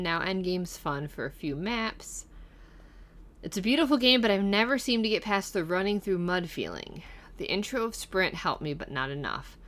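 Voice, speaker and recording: A woman's voice: lowish voice, a bit bright. A middle-aged woman hosting casually, reading comments aloud and musing over them in a conversational way. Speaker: guest host of a gaming channel reading viewer comments.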